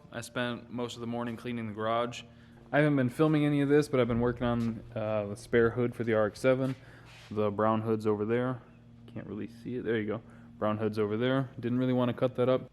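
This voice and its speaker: A man's voice: mid-range voice, fairly even intonation, brisk, few pauses, a little mellow, a little hiss or hum. An American man narrating a garage car-build vlog informally, thinking aloud as he works. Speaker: car builder and video host. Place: home garage.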